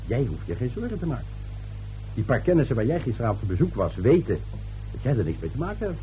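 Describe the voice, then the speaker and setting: A man's voice: mid-range voice, big pitch swings, fast, warm tone, soft background music, natural voice, poor audio. An actor playing a domineering, dismissive middle-aged father in a radio play, brusque and scoffing.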